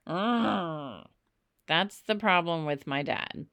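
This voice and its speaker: A woman reading a children's story aloud in an expressive narrating style, with emphatic, dramatic phrasing. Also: fairly low pitch, unhurried, no echo. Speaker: storybook reader.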